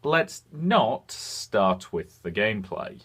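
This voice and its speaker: A man hosting a video game review, speaking frankly in semi-scripted commentary with deliberate pauses for emphasis. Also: on the low side, very animated, fairly bright tone, slightly rough.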